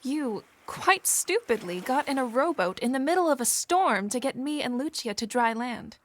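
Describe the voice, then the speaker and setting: A woman playing a husky, earnest young heroine. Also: sits high, expressive, moderate pace, fairly bright tone, dry recording. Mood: heartfelt. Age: young adult. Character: tender, earnest young Regency woman, quietly brave. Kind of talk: Regency-era audio drama acting.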